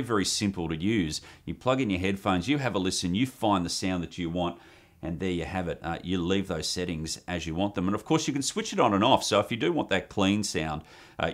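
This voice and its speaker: A man with an Australian accent presenting an enthusiastic microphone review at his home studio desk, talking into a condenser on a boom arm. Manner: enthusiastic, upbeat presenter delivery. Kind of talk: product review demo. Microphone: USB condenser on boom arm with pop screen.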